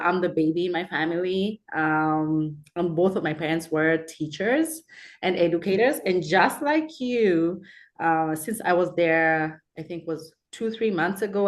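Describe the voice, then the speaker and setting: A woman from Kenya speaks on a webinar over a video call. Her voice sits a bit low, and she talks in a candid, conversational way with plenty of fillers. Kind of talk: casual presentation.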